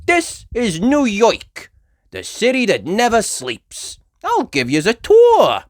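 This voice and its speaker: A brash, helpful female rabbit who drives a city cab in a children's storybook, acted by a woman reader. The voice is pitched high and very animated, with a chirpy tour guide manner. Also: takes it slowly, no room sound, clean studio sound, exaggerated voice. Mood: brash cheer.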